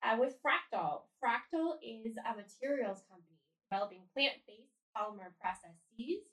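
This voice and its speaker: A woman's voice: a little high, expressive, moderate pace, a bit bright, husky, rough recording. A woman co-hosting a podcast, reading an intro and welcoming a guest in an upbeat, enthusiastic way. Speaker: podcast co-host. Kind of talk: scripted intro and interview hosting.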